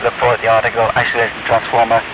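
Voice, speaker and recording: Older ham operator over hissy shortwave. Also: male voice, medium pitch, completely flat delivery, rapid-fire, dull audio. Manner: chatty, rambling, self-deprecating. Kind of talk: casual on-air radio chat. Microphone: radio transceiver mic heard over the air. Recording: noisy, band-limited shortwave radio hiss.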